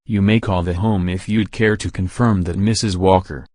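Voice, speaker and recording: A man's voice: low-pitched, steady tone, moderate pace, darkish tone, no room sound, clean studio sound, close to an everyday voice. A man playing a kindly old Santa Claus in a radio drama, genial and courtly. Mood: kindly, twinkling. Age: older. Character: kindly old gentleman Santa Claus and hearty store boss.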